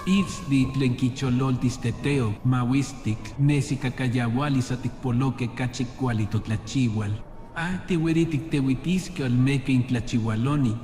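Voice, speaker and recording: A man playing a proud, scheming god in a fan-dubbed animated drama, solemn and declamatory in delivery. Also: medium pitch, takes it slowly, faint music behind, natural voice. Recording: echoey hall reverb, noisy background.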